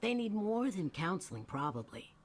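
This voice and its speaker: A woman's voice: fairly low pitch, big pitch swings, normal speed, slight background noise. A woman voice actor playing an eccentric, streetwise older woman who rambles in a folksy, suspicious way in a video game. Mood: breezy, defiant. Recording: game music behind the voice.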